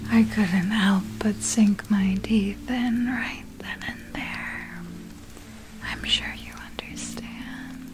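Young woman as a predatory female vampire, hushed whisper, close-miked, deliberate, falsely soothing, ASMR monologue, music behind. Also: mid-range voice. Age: young adult.

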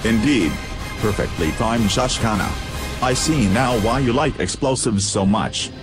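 A man playing a dry, detached, unexcitable turret gunner in an audio drama, speaking formally and deadpan. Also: mid-range voice, measured pace, over music, close to an everyday voice.

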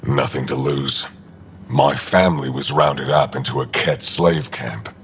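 Gruff alien commander, low gravelly voice, terse, cynical, game dialogue, ambient noise behind. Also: male voice, steady tone, measured pace, a bit bright, exaggerated voice. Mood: grim, resolute. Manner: terse, dry, blunt. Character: gruff, guarded male alien resistance leader, war-hardened and cynical. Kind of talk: video game dialogue acting.

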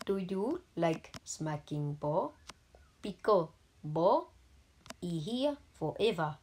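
A gaming YouTuber with an Indian accent, giving deadpan, halting comedic commentary over mobile gameplay. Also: female voice, lowish voice, breathy edge. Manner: deadpan, halting, joking persona.

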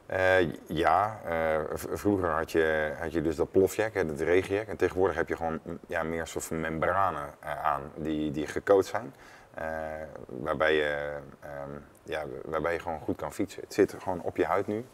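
A man's voice: extremely low pitch, normal speed. A man, chatting casually as a studio guest and often repeating words as he goes. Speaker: former professional cyclist, studio guest. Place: indoor studio. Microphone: lapel mic.

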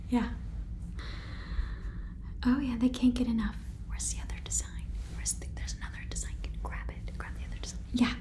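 A young woman playing a brisk, scheming space-farm businesswoman in an ASMR sitcom, chatty and salesy in whispers and soft speech, stammering over her words. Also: a little high, lightly acted. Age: young adult. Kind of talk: ASMR roleplay sitcom, whispered and softly spoken.

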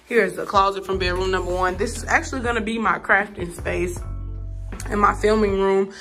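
A woman's voice: mid-range voice, steady tone, moderate pace, fairly bright tone, faint music behind. Chatty woman touring an empty apartment. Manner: chatty, informal slang, addresses viewers directly. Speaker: vlogger giving an apartment tour. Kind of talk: casual apartment tour vlog. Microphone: phone camera held while walking.

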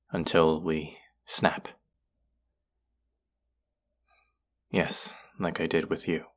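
Give the low, gravelly voice of a young male vampire, acted by a man in an intimate audio drama. He speaks earnestly, with a wry and protective streak. His deep register crackles with vocal fry. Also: a bit bright. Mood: remorseful, weary.

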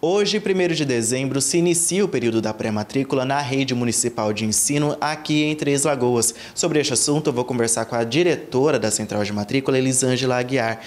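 A man's voice: mid-range voice, a little fast, few pauses. A young male TV reporter asking formal, prepared questions in an interview, indoors on a handheld mic.

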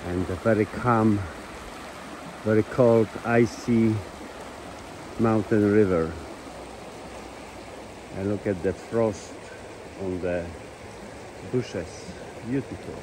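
A man with as a giving slow, enthusiastic commentary into a phone while filming outdoors at a ski hill and a mountain river. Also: on the low side, steady tone, background noise. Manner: slow, enthusiastic, simple phrasing with pauses. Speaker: vlogger.

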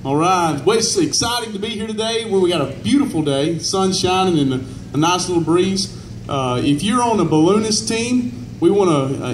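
Pastor over an echoey outdoor PA. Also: male voice, fairly high pitch, a little mellow. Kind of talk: informal public remarks and prayer. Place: covered outdoor festival stage. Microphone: handheld mic through PA, distant camera. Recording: echoey outdoor PA, noisy ambience.

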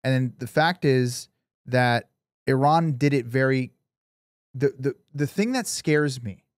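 A man's voice: medium pitch, slow, no echo. Casual man talking politics, dry close sound. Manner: informal, swearing, emphatic. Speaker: podcast co-host. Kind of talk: casual opinionated political chat.

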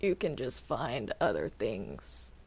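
A woman chatting casually in a game roleplay, with a hesitant, halting way of talking. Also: rumbling low, big pitch swings, darkish tone, breathy edge. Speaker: player in a Minecraft roleplay server. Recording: music behind the voice. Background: music.

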